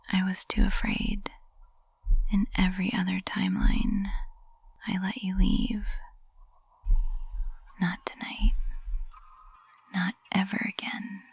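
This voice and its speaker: A woman performs as a lovestruck witch teacher for an ASMR roleplay. She speaks in a slow, very breathy, unvoiced whisper, tender and possessive, right up on a dry, close microphone. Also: mid-range voice, no echo, heavily acted. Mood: tender, confessing. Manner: slow, hushed, intimate, adoring.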